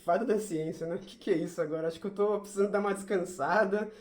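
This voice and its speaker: A woman's voice: mid-range voice, brisk, darkish tone, soft background music, natural voice. A woman acting as a sulky, easily flustered schoolboy in an amateur audio drama, stammering with exasperation. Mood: puzzled. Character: whiny, sulky schoolboy who hates science, easily flustered.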